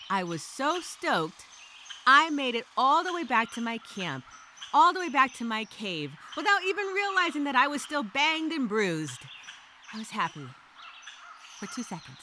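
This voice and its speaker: A woman's voice: a little high, pushed and bright, a little hiss or hum. A woman voicing an anxious, rambling castaway who narrates a story as a dramatic, theatrical first-person journal. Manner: theatrical, overthinking, repeats herself, self-questioning.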